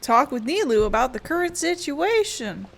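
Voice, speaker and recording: A woman's voice: sits high, big pitch swings, normal speed, gravelly, slight background noise. A woman hosting a gaming video, reading dialogue and prompts aloud.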